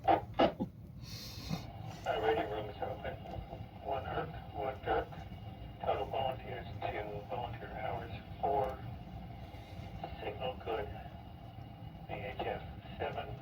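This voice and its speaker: A man giving clipped status reports as an operator checking in over a two-way radio. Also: medium pitch. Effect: two-way radio transmission. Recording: noisy, echoey, distant radio transmission.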